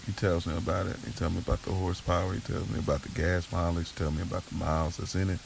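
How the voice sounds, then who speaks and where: An American man narrating an audio drama in the first person, in a gravelly voice and casual, slangy street vernacular. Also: deep voice, flat delivery, fast talker, background noise. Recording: music bed under the voice. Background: music.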